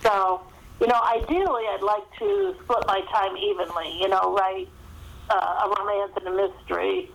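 A woman's voice: medium pitch, fairly even intonation, moderate pace, creaky, dull audio. Chatty middle-aged woman in an interview. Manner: chatty, anecdotal, peppered with "you know". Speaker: author being interviewed. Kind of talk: conversational podcast interview.

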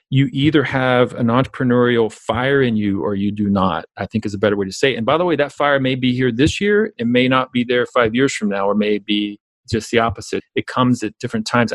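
Southern US male coach and speaker, very quick, emphatic interview delivery, close dry sound. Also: lowish voice, dry recording. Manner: emphatic, repeats phrases for stress. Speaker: business coach, speaker and author being interviewed. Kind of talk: conversational interview, storytelling.

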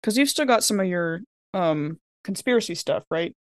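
A woman's voice: lowish voice, lively intonation, normal speed, no room sound. Woman chatting at game table, dry sound. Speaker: tabletop role-playing game player. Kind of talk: casual game-table chat.

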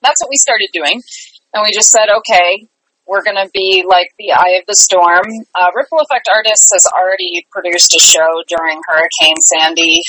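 A woman's voice: lowish voice, moderate pace, bright, forceful tone, low-quality recording. A woman chatting casually and upbeat as a guest in an interview about her work. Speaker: theater company artistic director, guest.

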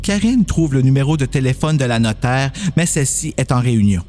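A man narrates a scripted horror story. His voice sits at an average pitch, with music clearly playing behind him. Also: animated, a little fast, darkish tone.

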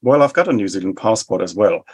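Husky German-accented man explaining policy. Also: fairly low pitch, animated. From West Germany. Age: 40s. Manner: measured, explanatory, rhetorical lists. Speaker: think tank head, guest. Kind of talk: interview answers.

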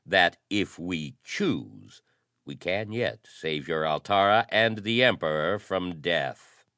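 A man playing a bold aviator with deep, authoritative tones in an adventure story. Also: lively intonation, takes it slowly, bright, forceful tone, no room sound, heavily acted. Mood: defiant, commanding. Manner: commanding, firm. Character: bold, authoritative male American aviator defying hostile princes.

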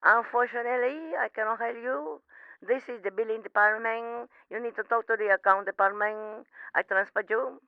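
A woman's voice: fairly high pitch, fairly even intonation, moderate pace, gravelly, clear, resonant tone, no echo. A woman playing an apologetic, unhelpful cable call-centre representative in an audio comedy, with polite, formulaic sympathy over a phone line. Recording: band-limited telephone line sound.

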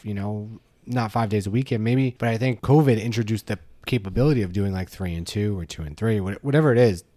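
A man's voice: fairly low pitch, fast, dark tone, dry recording. A man co-hosting a podcast in casual chat, talking in a matter-of-fact way and thinking aloud. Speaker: podcast co-host.

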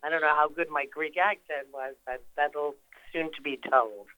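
A woman's voice: low-pitched, fairly even intonation, normal speed, no room sound, phone-quality sound. Older woman guest calling in, rambling and chatty, dry close sound. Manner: chatty, rambling with many fillers and restarts. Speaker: actress guest. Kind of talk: phone-in interview. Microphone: phone call.

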